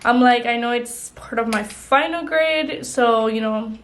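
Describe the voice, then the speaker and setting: A woman's voice: on the high side, unhurried, a bit bright, slight background noise. A young woman vlogger chatting casually while doing her makeup, rambling with lots of "like" and "you know". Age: young adult. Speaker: beauty vlogger.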